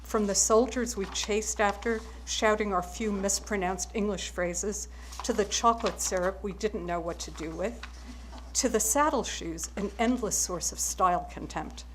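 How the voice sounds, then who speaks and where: A German-born woman with German as her first language, giving a measured, wry acceptance speech. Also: medium pitch, slight background noise, low-quality recording.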